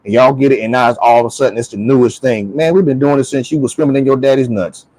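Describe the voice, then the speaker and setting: A man speaks in a loose, conversational way with firm opinions. His voice sits at a middle pitch, and he repeats phrases to drive points home. The sound is dry, with very little room. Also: fast, warm tone, no echo, low-quality recording. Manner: emphatic, repeats phrases for stress. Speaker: talk show speaker.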